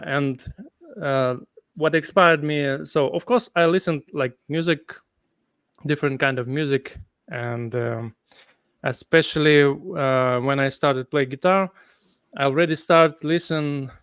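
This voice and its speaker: Sleepy Ukrainian man, slow halting English. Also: mid-range voice, fairly bright tone, clear, resonant tone, no echo, clean studio sound. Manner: halting non-native English with many fillers. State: sleepy late at night. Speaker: guest guitarist. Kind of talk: casual interview chat.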